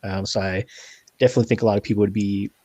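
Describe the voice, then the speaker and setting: A man's voice: lowish voice, flat delivery, rapid-fire, warm tone, dry recording. A man hosting a podcast, asking long, rambling questions in a friendly, casual way. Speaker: podcast host. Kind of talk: casual interview.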